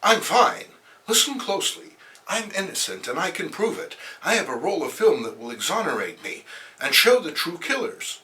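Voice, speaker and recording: A man's voice: high-pitched, very animated, moderate pace, bright, forceful tone, recorded from a distance, pitched above the performer's own voice, heavily acted, low-quality recording. A man voicing an earnest, urgent young male superhero in a comic book reading, with a melodramatic manner. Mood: urgent pleading.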